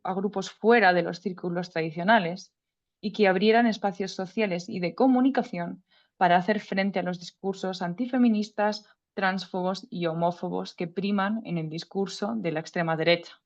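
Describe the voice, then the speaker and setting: A woman presents at an online seminar in a measured, formal reading voice and slips in the odd casual aside. Her pitch sits in an ordinary middle range. The sound is muffled and lo-fi, like audio from a video call.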